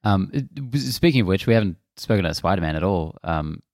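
A man's voice: deep voice, fast, dry recording, studio quality. A man co-hosting a film review podcast, chatting casually with swearing, jokes and acted-out little quotes. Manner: animated quoting, swearing, joking. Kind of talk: casual chat.

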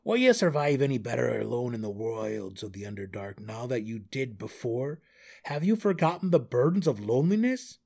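A man voicing a gruff, stubborn, loyal male deep gnome warden in a fantasy audiobook, growling and exclaiming indignantly. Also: animated, normal speed, a little mellow. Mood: challenging. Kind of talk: fantasy audiobook character acting.

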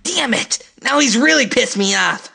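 A man's voice: very high voice, animated, moderate pace, gravelly, sharp tone, husky, exaggerated voice. A man playing a hot-headed, cocky teenage boy in an anime fan dub, brash and irritable. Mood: angry.

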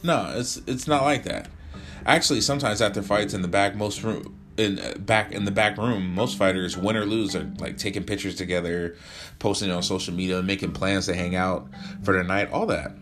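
A man's voice: low voice, fairly quick, music in the background, lightly acted. Man reading a reserved, guarded fighter. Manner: plain, hesitant, defensive.

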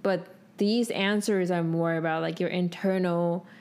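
A young woman talks in a voice pitched a little low. She sounds like a podcast co-host in casual conversation, working out her thoughts as she goes. Her phrasing is loose and informal, full of filler "like". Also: steady tone, a little slow, a little mellow, smooth. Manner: informal, thinking aloud, peppered with "like". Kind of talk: casual chat.

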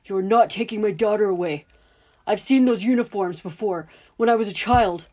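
A woman voicing an angry, protective middle-aged father who shouts defiantly. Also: medium pitch, steady tone, normal speed, breathy edge, natural voice. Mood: furious.

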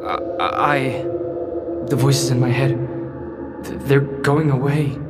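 A man plays a bewildered teenage boy in a sci-fi audio drama. He speaks slowly and haltingly, questioning everything, with loud music behind him. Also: mid-range voice, fairly even intonation, lightly acted. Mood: evasive.

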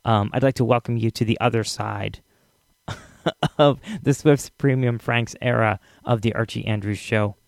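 A man gives casual podcast commentary in a creaky voice of medium pitch. He rambles, hesitates and sounds wryly amused, recorded dry and close. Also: steady tone, a little slow, dry recording. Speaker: podcast host.

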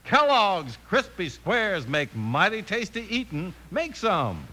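A man's voice: high-pitched, huge pitch swings, takes it slowly, bright, forceful tone, a little hiss or hum. An American man reading a 1950s radio commercial aimed at children, with folksy, upbeat sales talk. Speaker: commercial pitchman.

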